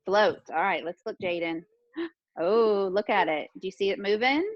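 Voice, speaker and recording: A woman's voice: medium pitch, animated, normal speed, no echo. A woman teaches a lesson to young children in an encouraging, questioning manner, often repeating what they answer. The recording is dull and muffled, with very little room sound. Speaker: pre-kindergarten teacher.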